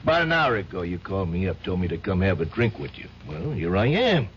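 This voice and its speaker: A gruff streetwise tough guy. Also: low-pitched, big pitch swings, fairly quick, slight background noise. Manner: gruff, slangy, wisecracking. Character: tough streetwise man, loyal, menacing. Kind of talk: 1970s radio drama acting. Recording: dull old radio broadcast.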